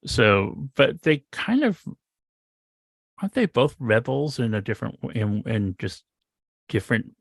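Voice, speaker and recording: A man co-hosting a podcast chat, his mid-pitched voice quick to tease and joke. The sound is dry and close. He jumps from questions to banter. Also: vocal fry, dry recording. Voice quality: higher voice. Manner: jokey, teasing, animated questions. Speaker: podcast co-host.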